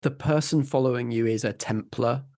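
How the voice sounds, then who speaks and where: A man's voice: mid-range voice, normal speed, darkish tone. A man narrating scenes and giving rulings as the game master of a tabletop role-playing game.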